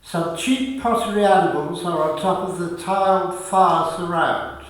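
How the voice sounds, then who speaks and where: A man's voice: high-pitched, fairly even intonation, unhurried, a bit bright. A man reading scripted stage directions as the narrator of a radio play. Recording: very echoey room, slightly distant mic.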